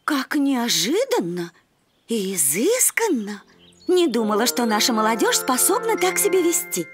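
A woman's voice: on the high side, sing-song, a little slow. A woman voicing a prim adult female insect in a children's cartoon. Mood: pleasantly surprised. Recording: background music.

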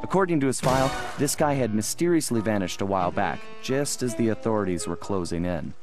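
A man's voice: lowish voice, animated, a little fast, natural voice. A man voicing a suave, cocky young raccoon thief in a wry, confident cartoon game style. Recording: music behind the voice.

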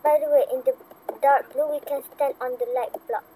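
A woman's voice: high voice, steady tone, brisk, mellow. Chatty young woman with a lilt. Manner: chatty, giggly, self-narrating her progress. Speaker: gaming channel host. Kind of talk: casual gameplay chat with friends. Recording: noisy home recording.

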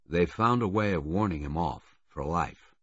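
A quiet, dry-witted woman, as a male narrator reads her, murmured low with a husky voice. The recording sounds dry and dull. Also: expressive, moderate pace, no echo, heavily acted. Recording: dull lo-fi, dry close audio.

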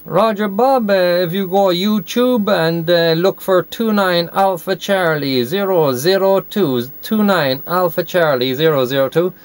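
A man's voice: high voice, measured pace, hardly any pauses. An Irish man from Cork chatting as a CB radio operator, repeating and spelling out words in radio phonetics. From Ireland. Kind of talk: radio contact chat. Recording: noisy CB radio shack recording.

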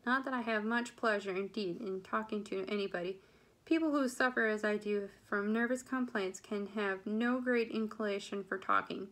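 A woman's voice: medium pitch, normal speed, a bit bright, close to an everyday voice. A woman reading a storybook part as a querulous, self-pitying middle-aged mother, complaining with insistent emphasis. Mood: scolding, self-pitying.

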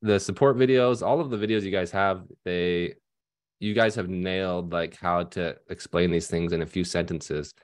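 A man's voice: sits low, steady tone, moderate pace. A man in his thirties, a financial advisor on a video call panel, talking casually with many fillers and restarts. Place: home room at night. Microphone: headset on video call.

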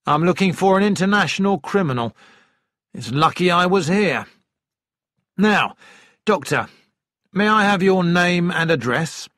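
A man's voice: high-pitched, lively intonation, unhurried, long pauses, fairly bright tone, no echo, studio quality, voice pushed up. A man voicing a civil, authoritative police inspector in an audiobook, with a businesslike, polite manner. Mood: brisk, businesslike.

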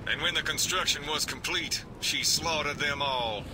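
Actor as resolute male soldier, fairly high voice, earnest, game cutscene, noisy rumbling ambience. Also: moderate pace, natural voice. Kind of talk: video game cutscene acting.

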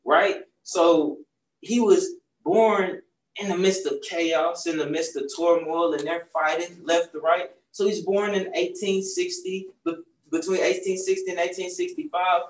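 A man's voice: high-pitched, fairly even intonation, measured pace. A young man, a children's book author, talking casually in a bookstore, often asking "right?" as he tells a story. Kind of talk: casual talk to young audience. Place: bookstore, seated on a stool. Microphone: far camera. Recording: roomy sound from a distant mic.

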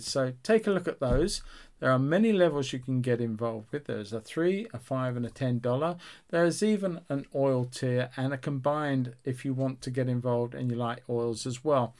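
A man's voice: medium pitch, a little mellow. A man in his sixties hosting a painting tutorial, chatting casually and encouragingly to his viewers. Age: 60s. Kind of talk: casual instructional chat to viewers.

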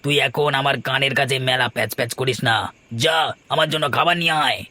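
A man's voice: mid-range voice, fast talker, bright, forceful tone, natural voice. A man playing an abusive drunken husband in a melodramatic cartoon, scolding coarsely and aggressively. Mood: angry defiance. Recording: music behind the voice.

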